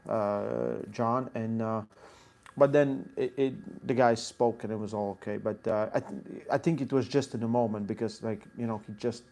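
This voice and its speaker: A man's voice: lowish voice, steady tone, moderate pace, gravelly, mellow. A man with a Latvian accent, an arm wrestling coach answering viewer questions in a live Q&A, explaining technique in short bursts and reading chat questions aloud.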